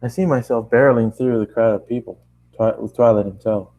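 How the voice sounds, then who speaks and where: A man's voice: mid-range voice, fairly even intonation, brisk, dull audio. A man narrating first-person fan fiction in a conversational way, stumbling and re-reading lines as he goes. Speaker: amateur story narrator.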